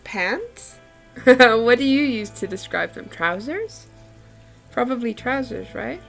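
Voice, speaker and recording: A woman's voice: on the high side, expressive, takes it slowly, gravelly, fairly bright tone, soft background music. A woman whose first language is Afrikaans, chatting casually and laughing often while she draws on a live stream. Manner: chatty, giggly, lots of fillers like "like". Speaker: artist streaming a drawing session. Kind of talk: casual chat while drawing.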